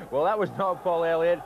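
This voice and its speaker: A man presenting a live football broadcast at a stadium, linking segments and naming arriving players in a brisk, informative way. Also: high-pitched, muffled recording. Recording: noisy broadcast with crowd behind.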